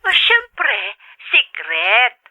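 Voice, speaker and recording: A woman's voice: sits high, wildly swooping pitch, drawn-out words, sharp tone, full character voice. A woman with an Ilocano accent acting an affectionate mother who works abroad and calls her children, in an audio drama. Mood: fond, cheerful. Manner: fond, motherly.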